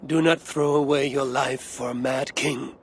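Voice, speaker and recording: An actor playing a battle-hardened, honourable Viking warrior in a game cutscene, measured and solemn, with a gravelly voice. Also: male voice, medium pitch, steady tone, a little hiss or hum, close to an everyday voice. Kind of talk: dramatic game cutscene acting.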